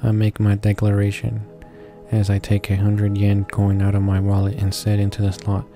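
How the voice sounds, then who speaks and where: Low, monotone man reading a game aloud. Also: faint music behind. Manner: halting reading, stumbling over words and correcting himself. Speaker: gaming video creator reading a visual novel aloud. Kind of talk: casual read-along narration with asides.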